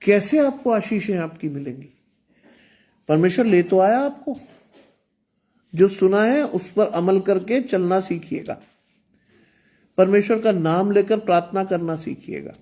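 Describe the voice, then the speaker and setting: A man's voice: sits high, expressive, measured pace, pauses often, mellow. A man preaching an online sermon in with some English, earnest and exhorting, speaking with a sore throat. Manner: earnest, exhorting, repeats phrases. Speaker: pastor.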